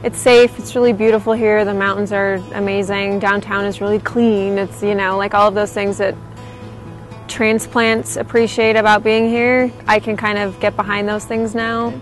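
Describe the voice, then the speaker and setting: A woman's voice: mid-range voice, level tone, normal speed. American woman over faint music. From Utah, USA. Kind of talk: casual unscripted interview.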